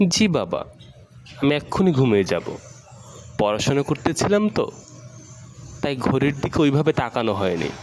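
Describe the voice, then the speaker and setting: A man's voice: medium pitch, very animated, moderate pace, long pauses, a little mellow, close to an everyday voice. A man playing a dutiful, affectionate schoolboy son in an amateur educational cartoon, polite and earnest. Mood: polite, reassuring.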